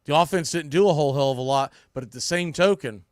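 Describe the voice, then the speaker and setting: A man's voice: on the high side, fast talker, no room sound, clean studio sound. A man with an American accent giving blunt, emphatic, opinionated baseball commentary as a sports podcast host. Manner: blunt, emphatic, mildly salty language.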